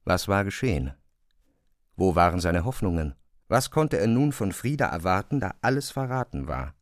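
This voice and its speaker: A man giving a dramatic literary narration for an audio drama, expressive and precise in his diction. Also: low voice, moderate pace, no echo, clean studio sound.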